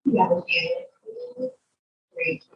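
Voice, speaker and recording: A woman reading a picture book aloud to a child, expressive, drawing out words and adding chatty asides. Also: very high voice, extremely slow, heavy reverb, far from the mic, dull audio.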